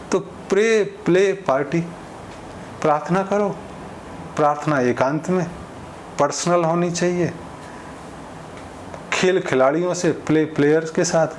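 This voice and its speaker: A man's voice: a little high, slight background noise, poor audio. A man delivering an informal opinion monologue in a conversational way, explaining with everyday examples and imagined exchanges. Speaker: speaker giving social commentary.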